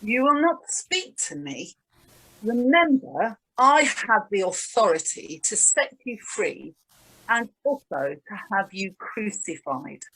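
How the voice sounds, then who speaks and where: A woman reading the part of Pontius Pilate, a troubled Roman governor, slow and emphatic in a dramatized Bible reading. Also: mid-range voice, very animated, fairly bright tone, breathy edge, heavily acted, poor audio. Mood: questioning, stern.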